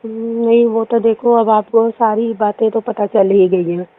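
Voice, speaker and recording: A woman's voice: a little high, flat delivery, moderate pace. A woman speaking politely and hesitantly, with an apologetic, coy manner in a phone conversation. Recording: band-limited phone call audio.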